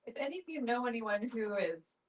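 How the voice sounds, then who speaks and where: A woman's voice: a little high, big pitch swings, a little fast. Female, informative and practical group speaker, emphatic on details, picked up from a distance. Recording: distant mic in group discussion.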